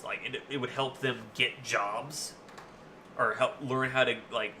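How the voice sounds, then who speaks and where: Booming young man, bright voice. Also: slight background noise. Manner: rambling, swearing freely, with many fillers. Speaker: streamer. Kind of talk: casual storytelling while streaming.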